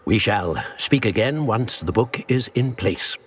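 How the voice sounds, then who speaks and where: An older man acting a dignified, formal old magic professor in a fantasy video game, with a husky voice and measured, stately delivery. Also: lowish voice, lively intonation. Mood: solemn, instructive.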